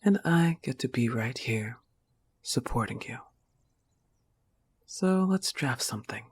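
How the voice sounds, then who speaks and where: A woman's voice: extremely low pitch, expressive, slow, breathy edge, full character voice. A woman voicing a protective, affectionate young goth wolf-girl girlfriend in an intimate audio roleplay, coaxing and reassuring the listener with a firm manner. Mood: tender, supportive.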